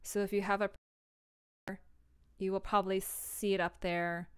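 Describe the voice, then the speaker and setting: A woman's voice: medium pitch, level tone, measured pace, clean tone. A young woman chatting casually on a livestream and answering viewers in a scattered, self-interrupting, informal way. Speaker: audio drama producer and livestreamer.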